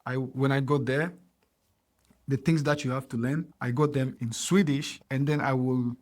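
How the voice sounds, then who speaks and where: A man in his thirties, from Ghana, talks as a guest on a casual podcast. He tells his story with energy and acts out past conversations. His voice sits at a middle pitch. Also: moderate pace. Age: 30s. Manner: animated storyteller, acts out dialogue with laughs.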